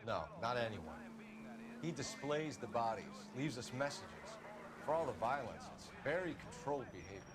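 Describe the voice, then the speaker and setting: An actor playing a serious, analytical 1940s police detective in a video game, speaking in a measured, clipped manner. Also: male voice, mid-range voice, sing-song, natural voice.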